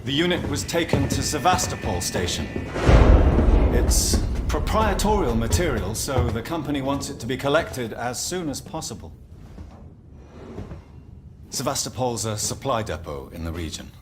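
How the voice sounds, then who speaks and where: An actor playing a polite company executive in a video game, measured, courteous and gently persuasive. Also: male voice, mid-range voice, big pitch swings, over loud music, lightly acted. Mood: calm, persuasive.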